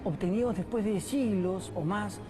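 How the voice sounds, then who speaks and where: A woman being interviewed who explains a point thoughtfully and pauses often with fillers. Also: mid-range voice, over music. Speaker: interviewed language expert. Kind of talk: interview answer.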